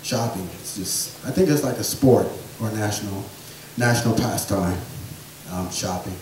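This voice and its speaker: A man telling rambling family stories in a stand-up set, with a soft voice he says he has. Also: fairly low pitch, moderate pace, heavy reverb, distant mic, slight background noise, rough recording. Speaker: stand-up comedian. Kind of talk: storytelling stand-up comedy. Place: small theatre or bar stage.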